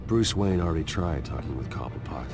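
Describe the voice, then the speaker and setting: An actor playing a brooding, grave masked vigilante in a video game, speaking in a terse, grim, controlled way. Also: male voice, extremely low pitch, expressive, brisk, over music.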